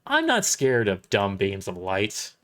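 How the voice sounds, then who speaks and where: A man's voice: fairly low pitch, wildly swooping pitch, measured pace, a bit bright, heavily acted. A man voicing a bold, stubborn and loyal lady fighter in an audio drama, speaking informally and brashly. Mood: defiant.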